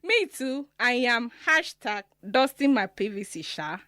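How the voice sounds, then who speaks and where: An informed, chatty Nigerian woman in a radio drama. Her fairly high voice moves around expressively, informal and recorded dry. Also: sing-song, measured pace, pushed and bright, dry recording, heavily acted. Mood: playful. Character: informed, chatty woman sharing troubling news. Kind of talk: conversational radio drama acting.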